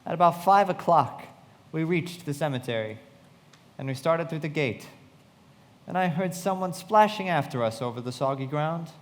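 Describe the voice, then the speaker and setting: A man's voice: a little high, animated, normal speed, lightly acted. An actor playing an earnest, disillusioned young man who narrates a radio play. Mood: reflective. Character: earnest, disillusioned young man recalling his friend's death. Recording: reverberant hall.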